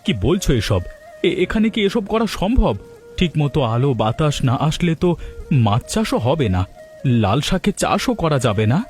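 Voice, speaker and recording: A man's voice: a little high, very animated, normal speed, a little mellow, soft background music. A man voicing a meek, hesitant village husband in a cartoon, sounding doubtful and mildly chiding. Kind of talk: Bengali village cartoon dubbing.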